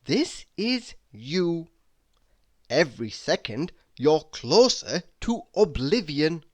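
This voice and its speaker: A reader delivers tongue-in-cheek motivational quotes at an extremely slow pace. The delivery is dramatic and deliberate, with lively swings in pitch and clear pauses between phrases. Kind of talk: reading humorous motivational quotes aloud.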